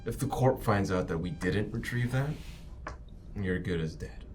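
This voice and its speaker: A man's voice: low-pitched, moderate pace, a little mellow. A man playing in a tabletop roleplaying game, improvising talk in character. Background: background music.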